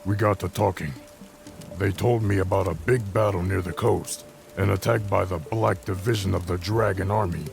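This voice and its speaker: Guy doing a grumbly dwarf for a fantasy story, deep and gravelly, blunt talker. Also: steady tone, moderate pace. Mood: recounting. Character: gruff, grumbling male dwarf adventurer, sincere. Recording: music under the voice.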